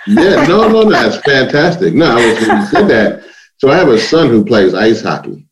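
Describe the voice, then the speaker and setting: A man's voice: medium pitch, big pitch swings, normal speed, reverberant, noisy background. A man from Louisiana, a host chatting casually and joking along with his guests. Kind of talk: casual conversation.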